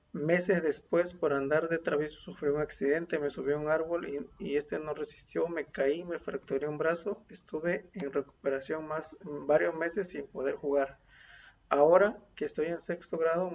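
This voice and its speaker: A male teacher, dictating and reading a model text aloud slowly, saying the punctuation. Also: fairly high pitch, creaky. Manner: slow, deliberate dictation with spoken punctuation. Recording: dull lo-fi home recording.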